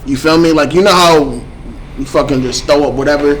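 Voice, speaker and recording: A man co-hosting a podcast, chatting in a relaxed, slangy way with many restarts. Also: a little high, a little fast, poor audio. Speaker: podcast co-host. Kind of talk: casual podcast chat.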